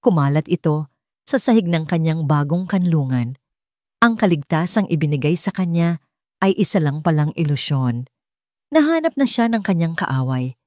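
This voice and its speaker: Lower-voiced woman narrating a dramatic story. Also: animated, moderate pace, darkish tone, no room sound, clean studio sound. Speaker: audiobook narrator.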